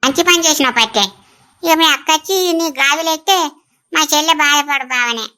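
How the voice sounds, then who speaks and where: A woman plays a village elder sister in a family drama, with a high, bright voice and a Telangana accent. She is blunt and emotional, exclaiming plaintively. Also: brisk. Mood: blunt, curious. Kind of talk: rural family drama acting.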